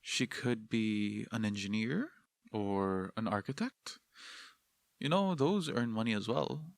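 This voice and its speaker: A man playing a practical, detached father in scripted animated drama dialogue, with an understated manner. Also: lowish voice, slow pace, creaky, no echo, exaggerated voice. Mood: pragmatic. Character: practical, detached father favoring sensible careers.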